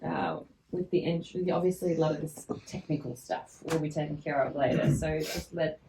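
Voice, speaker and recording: A woman's voice: low voice, moderate pace, dark tone. A woman casually giving direction to performers during a recording session. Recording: echoey room, background noise.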